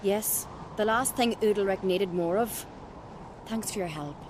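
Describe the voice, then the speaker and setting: An actress playing a bold, sharp-minded young noblewoman of a warrior clan in a fantasy video game, direct and confident. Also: medium pitch, measured pace, background noise, lightly acted. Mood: thoughtful. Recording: prominent game music behind the voice.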